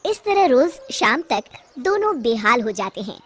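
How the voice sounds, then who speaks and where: Very high-pitched woman storyteller. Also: big pitch swings, a little slow, heavy background noise. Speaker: narrator. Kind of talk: scripted story narration.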